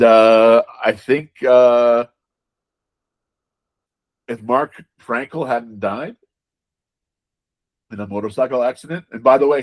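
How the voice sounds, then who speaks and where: A man being interviewed as a game designer, talking casually and haltingly, often repeating words as he explains. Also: on the low side.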